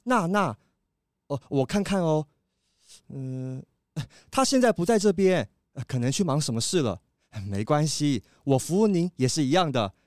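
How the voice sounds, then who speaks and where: Casting: actor as flustered courteous shop boy, kids' audio drama; lightish pitch, lively, stammers apologetically. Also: normal speed, no room sound, studio quality. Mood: flustered, placating. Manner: hesitant, placating, repeats himself. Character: polite, flustered young male shop clerk. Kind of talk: children's audio drama acting.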